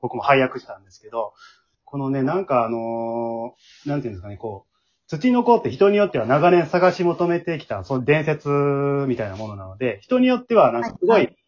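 A man with a husky voice, hosting a casual round-table chat. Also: medium pitch, sing-song, fairly quick. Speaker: host and drama group director. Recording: dull lo-fi audio.

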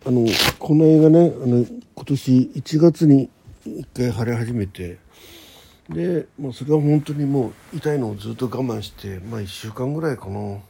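A man hosting a casual solo radio chat, talking in a halting, rambling way and often correcting himself. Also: medium pitch, gravelly, dark tone, a little hiss or hum. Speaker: internet radio host.

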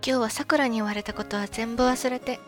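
A woman acting a loving but jealous, suspicious young wife in an amateur radio drama, expressive and often talking to herself. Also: on the high side, brisk, close to an everyday voice. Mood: cheerful. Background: music.

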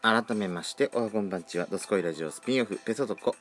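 A man's voice: lowish voice, racing, fairly bright tone. A man around forty hosting a casual solo podcast, chatting with campy, feminine phrasing. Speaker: podcast host. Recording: faint background music.

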